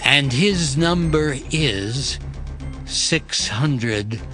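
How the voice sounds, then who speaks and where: Man reading scripture real slow and solemn, music playing under him. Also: medium pitch, expressive, bright, forceful tone. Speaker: narrator.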